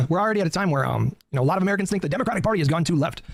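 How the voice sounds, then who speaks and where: A man's voice: high voice, animated, racing, vocal fry, no room sound, clean studio sound. A man giving earnest political commentary with many fillers, worn out and losing his voice after talking all day.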